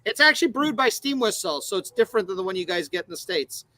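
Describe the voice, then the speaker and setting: A man from Canada banters on a casual beer show, reading viewer comments in a bright, forward voice. He jokes around and slips into goofy accents. The sound is close and dry. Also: sits high, big pitch swings, fast, dry recording, rough recording. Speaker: podcast co-host reading chat comments.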